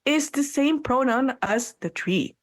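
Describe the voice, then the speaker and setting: A woman's voice: high-pitched, unhurried, no room sound. Woman, tagalog first language, chatty podcast co-host, lots of likes and you knows, dry close sound. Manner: animated, thoughtful, many fillers and restarts, slips into French. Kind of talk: casual chat.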